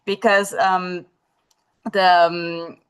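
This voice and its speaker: A woman with a lowish voice answers questions in a live online webinar, picking her way through ideas with frequent pauses and false starts. She speaks over a video call. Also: little pitch movement, clear, resonant tone. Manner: hesitant, many fillers and restarts. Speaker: webinar presenter.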